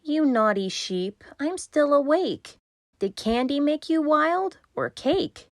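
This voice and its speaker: Woman as an exasperated, high-pitched sleepless boy. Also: animated, slow, no echo, clean studio sound, exaggerated voice. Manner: exasperated, pleading. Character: sleepless young boy, exasperated, in a rhyming storybook.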